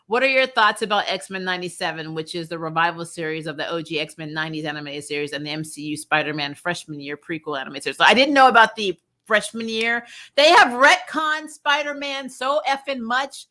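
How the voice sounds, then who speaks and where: A woman hosting a casual fan talk, blunt and emphatic, lightly swearing and quick to laugh. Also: lowish voice, pushed and bright. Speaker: host of a fan review show. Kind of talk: casual chat, answering viewer comments.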